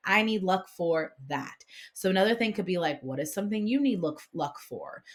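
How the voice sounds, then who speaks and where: A woman's voice: medium pitch, animated, normal speed. A woman hosting an informal instructional vlog, explaining things conversationally like a classroom teacher. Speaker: classroom teacher and video host. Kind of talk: casual instructional vlog talk about picture books.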